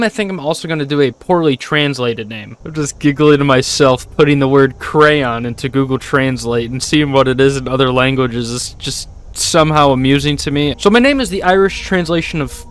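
A man's voice: a little high, a bit bright, clean tone. A man in his twenties giving casual, joking, sarcastic video game commentary into a close desk microphone. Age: 20s. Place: small room at home. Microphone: close desk microphone with headset.

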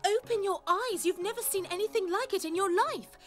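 A woman's voice: very high voice, a little fast, fairly bright tone, faint music behind. A woman voicing a feisty, bookish young English scholar in a cartoon, speaking assertively and emphatically. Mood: earnest. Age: young adult. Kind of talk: cartoon voice acting.

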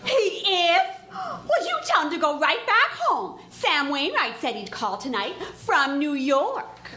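Woman playing shrill, nosy scolding mother. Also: very animated, slow, sharp tone, reverberant, exaggerated voice. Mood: disapproving. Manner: shrill, bossy, calling out. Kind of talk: radio play acting.

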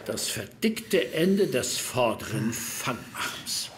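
An actor playing a formal, somewhat halting police superintendent in an audio drama. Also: male voice, mid-range voice, very animated, fairly bright tone, slight background noise. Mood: serious, official. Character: stiff, formal older male police superintendent briefing subordinates.

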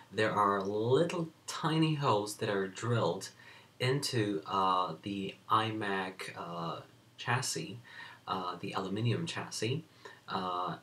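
A young man giving a casual tech review at a desk in a small home room, talking in a plain, measured way with frequent "uh" fillers, recorded on a built-in computer webcam mic. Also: on the low side, fairly bright tone, slight background noise. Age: young adult. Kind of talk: casual spoken product review.

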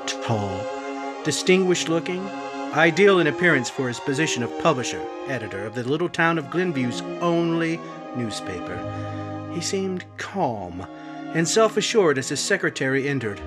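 Brief: male radio mystery narrator, hushed and ominous, lively swooping pitch, music beneath. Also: measured pace. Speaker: narrator as the Whistler. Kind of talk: 1950s radio drama narration. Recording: theme music under the voice.

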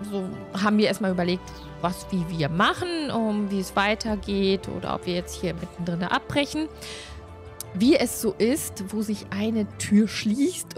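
A middle-aged woman hosting a show with a casual, halting update, speaking close to a microphone at home. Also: mid-range voice. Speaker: host of a tabletop role-playing show. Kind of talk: casual scripted-free update and chat. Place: small room at home, indoors. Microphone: close desk microphone, headset worn. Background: music under the voice.

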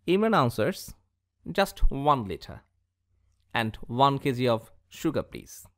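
Slow teacher. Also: male voice, medium pitch, fairly bright tone. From Bangladesh. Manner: slow, deliberate teaching voice that reads dialogue aloud. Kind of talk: scripted English lesson for schoolchildren. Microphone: voice-over over slides.

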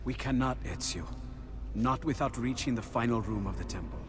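A man playing a learned, inquisitive Renaissance inventor in a video game, with an Italian accent and an expressive scholarly manner. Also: medium pitch, normal speed, music in the background, natural voice. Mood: urgent. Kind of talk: dramatic video game acting.

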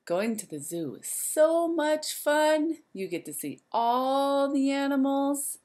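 A woman's voice: high voice, sing-song, slow, a little mellow. A woman in her thirties, a teacher reading a picture book aloud to young children in a sing-song way, speaking to them directly.

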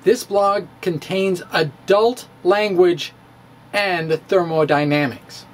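A middle-aged man giving a playful, theatrical dramatic reading of his own physics blog into a studio mic in a room at home. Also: high voice. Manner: theatrical, playful, with pauses and dips. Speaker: physics blogger. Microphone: studio mic in front of him.

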